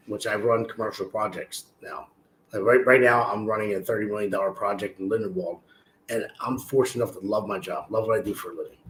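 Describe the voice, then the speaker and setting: A man in his forties from Philadelphia with a slightly hoarse voice, chatting casually as an interview guest on a video call. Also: on the low side, slight background noise. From Philadelphia, USA. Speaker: interview guest, political candidate. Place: room at home, indoors. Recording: roomy echo on a video call.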